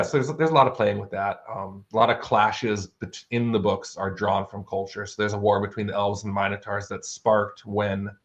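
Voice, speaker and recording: A man's voice: fairly low pitch, muffled recording. A man speaking as an author being interviewed, explaining his fantasy worldbuilding in an articulate, bookish, conversational way.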